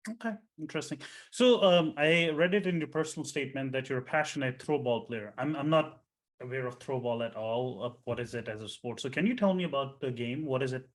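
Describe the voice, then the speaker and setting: A man hosting and conducting a mock residency interview, asking questions in a friendly, brisk way with some hesitations over a video call. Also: medium pitch. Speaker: interviewer and host.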